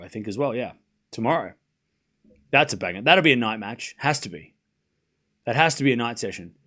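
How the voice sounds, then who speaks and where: A man hosts a live tennis watchalong in a fairly high, bright, forceful voice. His pitch leaps and falls in a very lively way. He calls the shots in short, clipped, excitable phrases, then slips into casual chat with his viewers. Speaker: livestream host and commentator.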